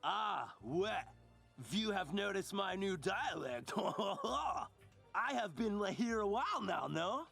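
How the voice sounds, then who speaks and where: A man's voice: high voice, animated, slow pace, gravelly, a bit bright, husky, faint music behind, exaggerated voice. A man playing an eccentric, theatrical explorer in a cartoonish video game, with an exaggerated French accent. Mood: jaunty, boastful. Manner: theatrical, mangles French into English.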